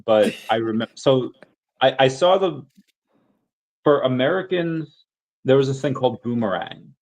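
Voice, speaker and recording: A man's voice: medium pitch, animated, unhurried, gravelly, darkish tone, poor audio. A man hosting a podcast in casual chat, telling long, rambling stories in a loose, conversational way. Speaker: podcast host.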